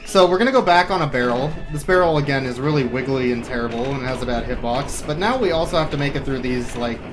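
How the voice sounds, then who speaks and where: A man's voice: mid-range voice, quick pace, poor audio. A man giving casual, joking live commentary as he speedruns a video game. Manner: wry, joking. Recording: voice under loud game music. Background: loud game music.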